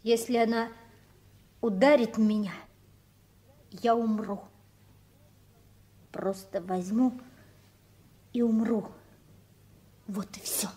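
Actress doing a little orphan boy, high voice, wistful and eager, vintage radio play. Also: fairly even intonation, slow, long pauses, mellow, slightly rough. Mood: grim, hurt. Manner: childlike, earnest, wistful. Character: hopeful, eager nine-year-old orphan boy. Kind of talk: 1960s Soviet radio play acting.

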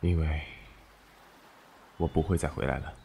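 A man acting a calm, teasing and devoted supernatural fighter in a Chinese costume audio drama. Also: extremely low pitch, measured pace, vocal fry, a little hiss or hum, heavily acted. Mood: quietly serious.

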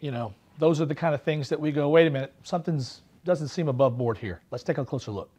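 A man's voice: on the high side, fast talker, darkish tone, husky. Man official in careful interview. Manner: careful, official-sounding answers. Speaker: state consumer protection official. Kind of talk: sit-down news interview. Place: office with bookshelves.

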